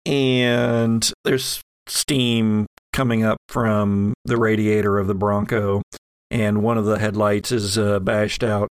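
Guy game mastering a horror rpg, bit of fry in the voice, really dry sound, kinda halting narration. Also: fairly low pitch, flat delivery, no echo.

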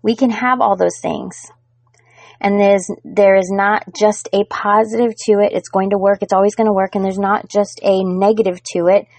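Woman, middle-aged, podcast host, earnest and encouraging, mid-pitched voice, dry close mic. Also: steady tone, normal speed, a little mellow, no echo. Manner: earnest, encouraging, speaks straight to listeners. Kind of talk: solo motivational podcast talk.

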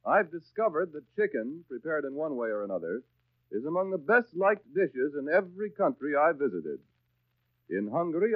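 An American man works as a vintage 1930s radio announcer, selling with polished, folksy emphasis. His fairly high voice swoops in animated intonation, heard through a thin, band-limited old recording. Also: no echo, phone-quality sound. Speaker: radio announcer and sponsor's pitchman. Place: radio studio.

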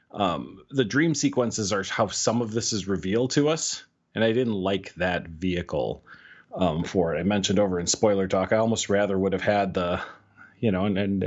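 A man's voice: lowish voice, muffled recording. A man co-hosting a book podcast in a casual, rambling way, often restarting his sentences. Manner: rambling, self-correcting, candid. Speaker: podcast co-host.

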